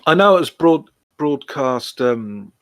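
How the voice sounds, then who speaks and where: A man's voice: a little high, lively intonation, takes it slowly, fairly bright tone, no echo. A man chatting casually as a podcast co-host, with informal, loosely hesitant phrasing. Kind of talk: casual chat.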